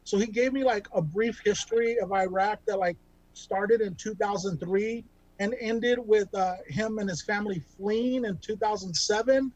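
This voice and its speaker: A man's voice: high-pitched, normal speed, darkish tone, muffled recording. A man in his thirties from California doing a self-deprecating stand-up set over a video call, rambling with lots of ums and restarts. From Bakersfield, California. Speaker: stand-up comic.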